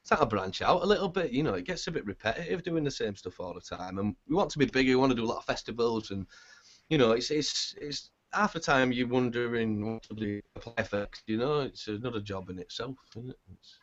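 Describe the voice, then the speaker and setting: A male band singer with a scratchy, raspy voice, chatting in a laid-back, rambling way in an interview and swearing casually. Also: medium pitch, expressive, quick pace. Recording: dull lo-fi sound.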